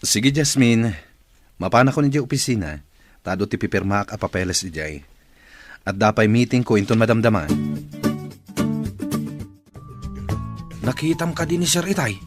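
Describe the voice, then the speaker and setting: A man's voice: lowish voice, lively intonation, a little fast, faint music behind, close to an everyday voice. An actor with an Ilocano Filipino accent playing a courteous, kindly male company boss in a radio drama. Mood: friendly.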